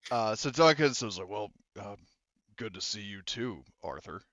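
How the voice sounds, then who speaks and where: A man running an online tabletop game, narrating casually in a halting, informal way with some swearing, over voice-chat audio. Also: fairly low pitch, lively intonation, fairly bright tone, dry recording, highs cut off. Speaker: game master.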